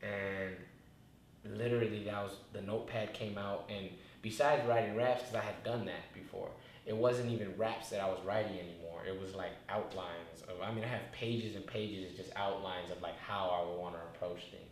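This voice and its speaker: A man's voice: sits low, fairly even intonation, normal speed. A young man in his twenties, a rapper talking through his story in a laid-back, rambling way, with many restarts. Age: 20s. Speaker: rapper, interview guest. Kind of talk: casual reflective interview talk. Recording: very echoey room, faint noise.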